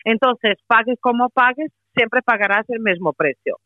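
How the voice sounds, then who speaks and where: A woman with a Portuguese accent, a company representative making a persuasive sales pitch by phone on the radio, hesitating often with "eh". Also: moderate pace, dry recording. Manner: persuasive, many hesitations and "eh" fillers. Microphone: telephone. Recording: tinny phone line, dry.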